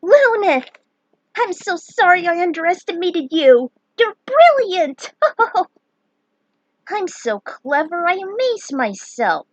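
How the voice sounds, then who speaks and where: A woman voicing an excitable young fantasy adventurer in an audiobook story, gushing in exclamations. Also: extremely high pitch, slow pace, no room sound, pitched above the performer's own voice, heavily acted, poor audio. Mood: excited, gushing.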